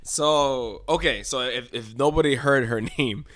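A young adult man co-hosting a podcast, talking in an animated, joking way with many restarts. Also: mid-range voice, unhurried, dry recording. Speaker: podcast co-host. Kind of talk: casual chat.

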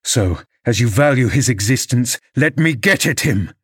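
A man's voice: mid-range voice, expressive, moderate pace, breathy edge, no room sound, studio quality. A man voicing a contemptuous, vengeful, violent man from a classic novel in a sneering, menacing manner. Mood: furious.

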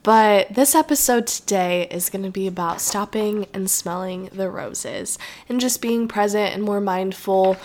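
A young woman talks through her solo podcast in a loose, rambling and reflective way. Her voice is a little nasal from allergies and sits at an ordinary middle pitch. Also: a little slow, darkish tone. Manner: chatty, rambling, self-reflective. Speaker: podcast host. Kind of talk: casual solo podcast chat.

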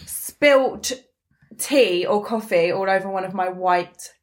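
A woman hosting a casual podcast chat, animated, laughing and swearing casually as she talks. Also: medium pitch, slow. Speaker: podcast host.

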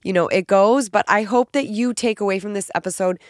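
A woman podcast host and fitness coach with a naturally raspy voice, made more nasal and raspy by being sick, talking in an upbeat, emphatic, encouraging solo monologue. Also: mid-range voice, a little fast, dry recording, studio quality.

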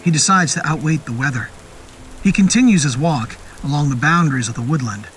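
A man narrating a scripted horror story in a deliberate, literary and descriptive manner. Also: a little high, animated, fairly bright tone, slight background noise. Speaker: narrator of a horror fiction podcast. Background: music and rain sound effects.

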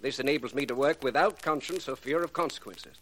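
An actor as a pompous, cold criminal mastermind. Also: male voice, mid-range voice, a bit bright, lightly acted. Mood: coolly menacing. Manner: pompous, deliberate, ornate diction. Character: cold, erudite male extortionist crime boss called professor. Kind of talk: 1940s radio acting. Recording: noisy, crackly old radio recording.

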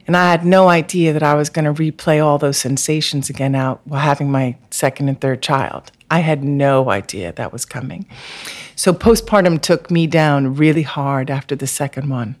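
A woman's voice: low-pitched, moderate pace. Earnest woman telling her story. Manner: earnest, spiritual, candid; frequent "like" and "um" fillers. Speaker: guest therapist. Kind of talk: personal storytelling interview.

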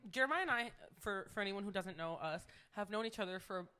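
A woman's voice: mid-range voice, lively intonation, fast, bright, forceful tone, clear, resonant tone. A woman in her late twenties chatting casually as a guest on a podcast. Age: late 20s. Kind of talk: casual chat.

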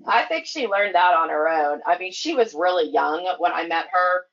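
A woman's voice: on the low side, expressive, normal speed, a bit bright, dull audio. A woman guest on a podcast, chatty and easygoing, using lots of fillers and laughing easily. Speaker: guest, skating association staff. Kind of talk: casual podcast interview.

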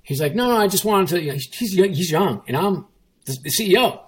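A man's voice: high voice, animated, fast talker, a little hiss or hum. A man, a former chief executive, telling a story in a casual interview, emphatic and given to repeating his points and quoting others. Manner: emphatic, repetitive, quotes himself and others. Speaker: former CEO, guest.

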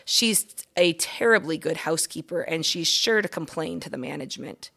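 A woman's voice: sits low, expressive, moderate pace, vocal fry, sharp tone, exaggerated voice. A woman voicing a friendly, generous and slightly bossy young boy from a storybook, speaking frankly. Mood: cautioning. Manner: frank, scolding but good-natured.

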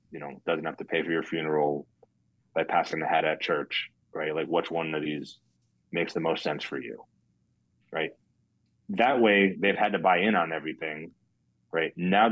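A man, a life insurance agent, giving a guest interview in a plainspoken, monotone way and explaining step by step. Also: low-pitched, no room sound, lo-fi sound. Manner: monotone, says so himself. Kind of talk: casual interview talk.

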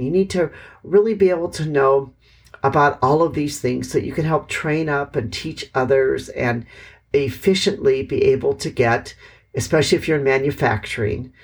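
A podcast host living overseas, talking in a reflective, rambling way with lots of "sort of" hedges and self-corrections, and jumping in pitch when acting out remembered thoughts. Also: fairly even intonation, moderate pace, husky. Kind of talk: casual solo podcast monologue.

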